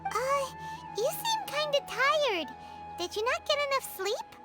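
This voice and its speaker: Casting: tiny excitable game fairy, extremely high childlike voice, wildly swooping intonation, whiny and exclamatory. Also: female voice, measured pace, over loud music, full character voice. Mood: curious. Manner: refers to self in third person, whiny and exclamatory. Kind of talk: video game voice acting.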